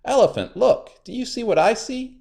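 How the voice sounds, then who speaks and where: A sly storybook trickster, acted out by a man, speaks far up in a high register. The delivery swoops about with exaggerated, wheedling insistence, like a schemer pushing someone along. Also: sing-song, moderate pace, creaky, warm tone, heavily acted. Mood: falsely friendly. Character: sly, lazy trickster spider, falsely friendly, easily irritated.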